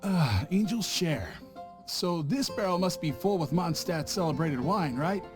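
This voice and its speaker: A shifty traveling merchant who stammers and hastily covers his slips. His voice sits at an ordinary pitch but swings widely, and he speaks slowly. Game music plays clearly behind him. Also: very animated. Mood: sly. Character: nervous, evasive male merchant. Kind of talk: video game voice acting.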